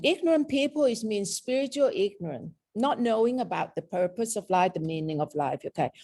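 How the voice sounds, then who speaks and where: A woman's voice: medium pitch, dark tone. A woman with a mixed Thai and British accent, teaching a lecture on meditation and often checking in with "okay?". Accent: Thai and British mix. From Thailand. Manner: explaining with frequent "okay?" checks.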